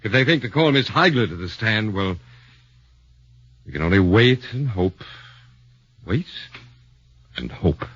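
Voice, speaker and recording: An actor playing an earnest, cautious defence lawyer, formal and deliberate, in a 1940s radio drama. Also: male voice, on the low side, animated, fairly bright tone, slightly rough, dry recording, full character voice. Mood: grave, worried. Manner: formal, deliberate, hesitant pauses. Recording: dull 1940s radio recording.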